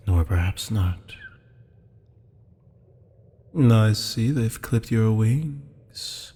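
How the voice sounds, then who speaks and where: A man playing a haughty, teasing demon buyer in an ASMR roleplay drama, with a low, gravelly, husky voice and a taunting, mock-polite manner. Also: animated, drawn-out words, long pauses, a little mellow, full character voice. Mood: teasing, intrigued. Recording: long hall-like reverb.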